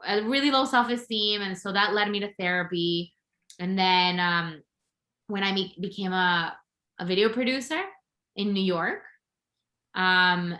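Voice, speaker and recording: A woman's voice: lowish voice, sharp tone, echoey room. A woman from Venezuela, a podcast guest and intuitive coach, telling her story in an open, upbeat way. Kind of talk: casual interview chat.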